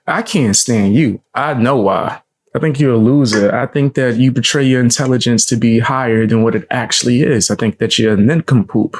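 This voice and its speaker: A young man reacting on a live stream in casual, blunt, mocking talk, with a low voice and frequent swearing. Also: normal speed, a little hiss or hum. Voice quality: low gravelly voice.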